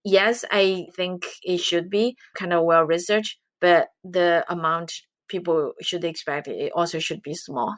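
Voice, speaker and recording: A woman's voice: on the low side, steady tone, no echo. Woman explaining earnestly, dry sound. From China. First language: Chinese. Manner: measured, earnest explaining with light laughs. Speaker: guest, investment researcher. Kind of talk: interview answers.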